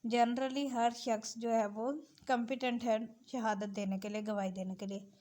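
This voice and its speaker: Female lecturer, Pakistani accent, slightly high pitch, step-by-step teaching delivery with code-switched English terms. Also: fairly even intonation, normal speed, dark tone. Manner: mixes Urdu with English terms. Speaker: law lecturer. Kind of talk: explanatory lesson, reading out and explaining.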